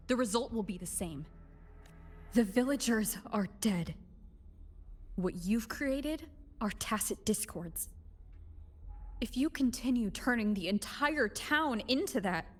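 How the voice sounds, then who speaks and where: Earnest, stern young heroine. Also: female voice, mid-range voice. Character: earnest, firm young heroine confronting wrongdoers. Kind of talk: dramatic video game voice acting.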